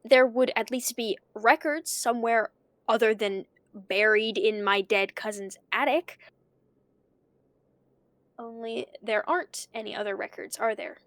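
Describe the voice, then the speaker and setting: An actress playing an anxious woman investigator in an audio drama, talking to herself in a nervous, halting, stammering way. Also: fairly high pitch, a bit bright, no room sound. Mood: uneasy, doubting. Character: anxious woman investigating her dead cousin's tapes.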